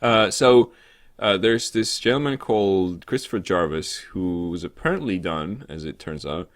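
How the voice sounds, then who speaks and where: Man, deep voice, animated pitch swings, halting and rambling, jokey podcast co-host, casual chat. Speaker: co-host of a weekly gaming news show.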